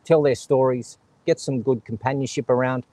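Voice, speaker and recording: Aussie bloke hosting a chat show, reads out comments, laughs a lot, dry close sound. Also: male voice, medium pitch, fairly even intonation, moderate pace, dark tone, no echo. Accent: Australian. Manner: joking, laughs often, reads out viewer comments. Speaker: host. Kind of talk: casual chat.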